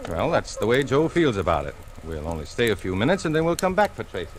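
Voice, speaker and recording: An actor playing a mild, secretive husband in the soothing, evasive style of 1940s radio drama. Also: male voice, medium pitch, very animated, fast talker, close to an everyday voice. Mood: placating. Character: secretive husband, outwardly mild, quietly brooding. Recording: noisy old radio broadcast recording.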